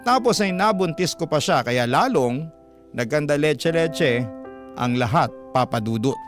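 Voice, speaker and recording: An actor reading a letter in the first person as a weary young man recounting his hardships, in a measured, radio-style narration. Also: on the high side, lively intonation. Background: music.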